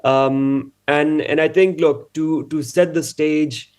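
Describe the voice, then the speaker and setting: A man's voice: a little high, fairly even intonation, slow pace, a little mellow, smooth. Man from Pakistan, panel speaker on a video call, earnest, restarts phrases. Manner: earnest, repeats words as he builds points. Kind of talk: conference talk via video call.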